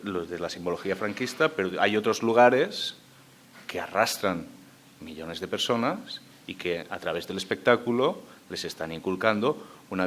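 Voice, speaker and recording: A very deep-voiced man speaks on a conference panel, weighing his words and pausing to think aloud. Faint room noise sits behind him. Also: a bit bright. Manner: measured, thinking aloud with hesitations. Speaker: panel speaker on memory policy.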